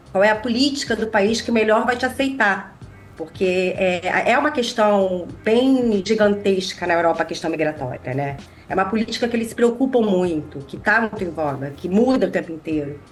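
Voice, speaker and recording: A Brazilian woman talks casually as a podcast guest. Her medium-pitched voice is a little nasal, congested by a mild cold. Soft music is faintly audible behind her. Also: fairly quick. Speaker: podcast guest, immigration lawyer. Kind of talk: casual chat.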